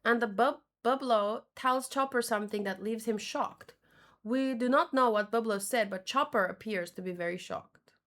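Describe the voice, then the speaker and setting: A woman with a slightly high voice chats as a streamer sharing her reactions. Her delivery is informal and unscripted, often hesitating and rephrasing mid-thought. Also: normal speed, a bit bright. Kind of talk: casual livestream commentary.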